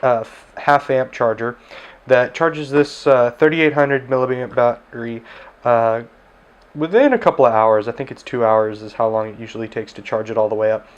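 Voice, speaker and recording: A man's voice: medium pitch, normal speed, muffled recording, a little hiss or hum. A man giving a casual, easygoing review of a handheld radio at his desk, with plenty of filler words. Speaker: tech reviewer, ham radio operator. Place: indoors at a desk.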